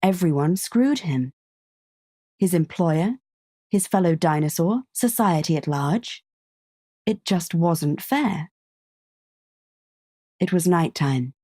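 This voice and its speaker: Low, mellow, unhurried woman narrator. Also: lively intonation, no echo, clean studio sound. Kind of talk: scripted story narration.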